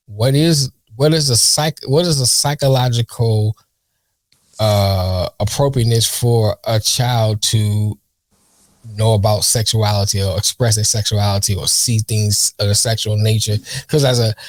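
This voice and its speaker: A man's voice: medium pitch, no room sound. A man co-hosting a casual chat, musing aloud in rambling thoughts and laughing often.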